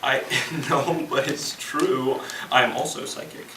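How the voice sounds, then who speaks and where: A woman's voice: extremely low pitch, steady tone, slow. A woman playing a stubborn, trigger-happy, wisecracking zombie survivor in an amateur stage play. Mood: smug, deadpan. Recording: distant echoey stage recording with noise.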